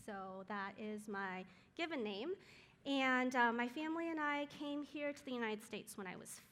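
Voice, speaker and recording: A woman whose first language is Chinese speaks to a church group in a bright, fairly high voice. She talks in an earnest, hesitant way, filling pauses with ums. Her voice rings out with a long reverb in the room. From Taiwan or China, raised in Seattle area. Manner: hesitant, many ums, soft-spoken and earnest. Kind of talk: casual talk to a group.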